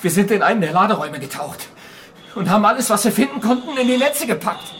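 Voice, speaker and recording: A man's voice: high-pitched, big pitch swings, fast talker, fairly bright tone. A man playing a distraught, furious survivor in a radio drama, agitated and near screaming. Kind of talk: intense German radio drama acting.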